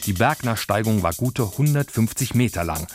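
A man's voice: lowish voice, expressive, moderate pace, loud music behind the voice. A man reading scripted narration for a children's story. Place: dry studio.

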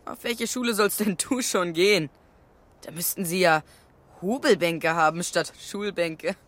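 A woman's voice: lowish voice, measured pace, a bit bright. A woman voicing a cheeky, mocking schoolboy rascal in a children's radio play, brash and teasing.

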